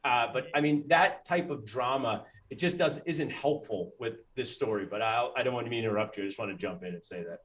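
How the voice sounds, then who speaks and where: A man, a dentist hosting a casual interview, talking in an animated way and jumping in with quick asides. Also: mid-range voice. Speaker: dentist and podcast host.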